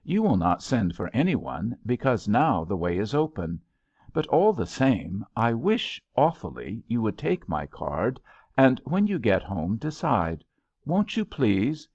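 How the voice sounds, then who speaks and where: A man voicing an earnest, impetuous young gentleman in an audiobook, speaking in an urgent, pleading, courtly way. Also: fairly low pitch, big pitch swings, a little slow, breathy edge, no echo, heavily acted. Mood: pleading, whimsical. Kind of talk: audiobook character dialogue. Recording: slightly dull, lo-fi, dry audio.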